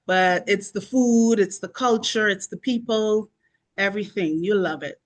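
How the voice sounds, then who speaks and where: A woman's voice: mid-range voice, a little slow. Bahamian woman chatting as an interview guest. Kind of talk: casual interview chat.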